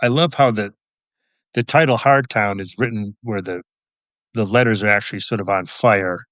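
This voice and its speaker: Low-voiced, understated man as interview guest. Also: moderate pace, fairly bright tone, no room sound. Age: middle-aged. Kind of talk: casual chat.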